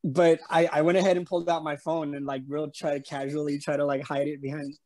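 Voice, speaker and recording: A man with a slightly high voice and a San Antonio accent that carries a light Spanish tinge. He rambles through a casual story full of fillers and restarts. His voice comes through a home webcam on a video call. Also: little pitch movement, fast talker, darkish tone. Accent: San Antonio Texan with a slight Spanish tinge. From San Antonio, Texas. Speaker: interview guest. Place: room at home.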